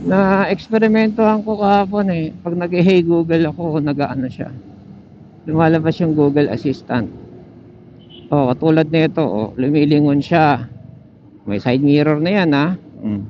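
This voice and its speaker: A man's voice: fairly high pitch, normal speed, pauses often. A Filipino man giving casual, chatty riding commentary, thinking aloud as he goes. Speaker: motorcycle vlogger. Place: outdoors on a motorcycle in traffic. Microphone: helmet intercom microphone. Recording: muffled helmet intercom mic with vehicle noise. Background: faint vehicle and road noise.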